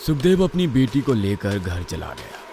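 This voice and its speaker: A man's voice: fairly low pitch, very animated, normal speed. A man narrating a scripted horror story in a dramatic storytelling style. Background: music.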